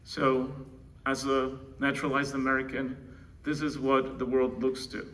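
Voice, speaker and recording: A man's voice: mid-range voice, monotone, a little slow, a bit bright, distant mic. A man from the Republic, giving a conversational keynote introduction at a conference, with restarts and asides to the audience. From Czech Republic. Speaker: keynote presenter introducing his co-founder. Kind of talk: live conference speech. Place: large conference room. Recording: conference room, speaker away from the mic, faint room noise.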